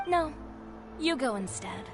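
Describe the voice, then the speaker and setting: A woman playing a proud, fearless fashion designer in a video game, composed and assertive with a deliberate dramatic delivery. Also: high-pitched, lively intonation, over loud music. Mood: firm resolve. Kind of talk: anime-style video game voice acting.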